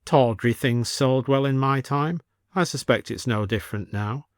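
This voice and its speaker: A man voicing a wistful, genteel gentleman from a bygone age, in a story reading. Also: medium pitch, normal speed, no room sound, studio quality, natural voice. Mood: resigned. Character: wistful, genteel old-fashioned gentleman from centuries ago. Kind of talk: audiobook character voice.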